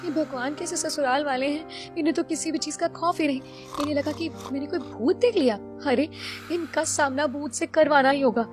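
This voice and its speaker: A woman's voice: high voice, moderate pace, over music, voice pushed up, lightly acted. A woman voicing a timid, scheming young daughter-in-law in a cartoon story, whining and pleading dramatically about her in-laws. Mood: whiny, exasperated. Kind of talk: Hindi cartoon story voice acting.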